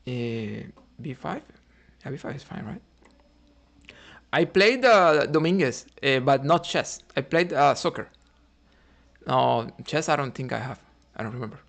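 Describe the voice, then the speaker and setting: A man's voice: medium pitch, lively intonation, a little slow, vocal fry, a bit bright. A man from Cuba streaming chess, thinking aloud about his moves, often adding "right?" and chatting with viewers. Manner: chats with viewers between moves. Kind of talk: live chess commentary while playing.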